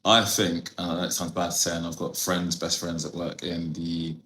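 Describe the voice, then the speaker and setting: A man hosting a podcast in a relaxed, teasing way. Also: low-pitched, normal speed, echoey room. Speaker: podcast host. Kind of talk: casual interview. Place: podcast studio.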